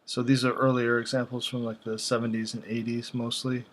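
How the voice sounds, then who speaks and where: A man talks casually through examples in a flat, even voice. His delivery is unscripted and halting, as if thinking aloud while he browses, with very little rise or fall in pitch. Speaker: video host.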